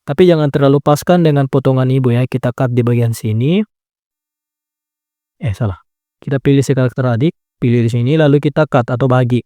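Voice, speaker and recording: A man's voice: mid-range voice, a little fast, no room sound. A man walking through a phone video edit step by step, correcting himself as he goes. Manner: calm instructing with frequent "nah" and self-corrections. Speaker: video editing tutor. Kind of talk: step-by-step screen tutorial.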